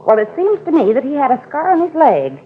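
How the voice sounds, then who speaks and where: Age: older. Figure: a gossipy elderly neighbor. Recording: tinny old radio. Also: female voice, high voice, sing-song, a little fast. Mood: chatty. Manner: rambling, gossipy. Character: chatty older female neighbor, cat lover, nosy. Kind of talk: 1950s radio drama acting.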